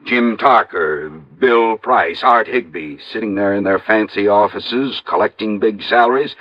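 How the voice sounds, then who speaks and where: Insistent local sleuth, low voice, emphatic accusing delivery, old radio crime drama, muffled dry recording. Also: male voice, unhurried, no echo. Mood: bitter. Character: insistent, indignant male local investigator, sure of his suspect. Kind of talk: 1950s radio drama acting.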